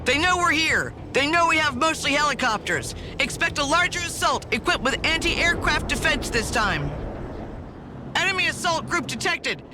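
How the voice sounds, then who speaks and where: A woman playing a brisk, sardonic army officer in a video game, with crisp, dryly sarcastic military delivery. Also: sits high, expressive. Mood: brisk, alert. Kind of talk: scripted video game mission dialogue. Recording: music behind the voice.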